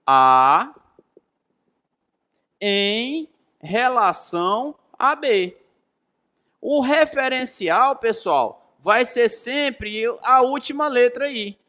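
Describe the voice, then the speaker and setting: A man's voice: extremely high pitch, pushed and bright. A man in his thirties with a Brazilian accent teaching a lesson, emphatic and didactic, asking rhetorical questions and repeating key phrases. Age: 30s. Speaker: physics teacher.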